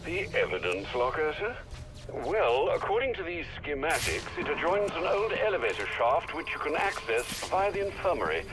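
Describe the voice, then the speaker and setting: An actor playing a courteous, helpful butler in a video game, formal and measured, speaking over a radio link. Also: male voice, a little high, sing-song, over music. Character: courteous, helpful male butler advising his employer.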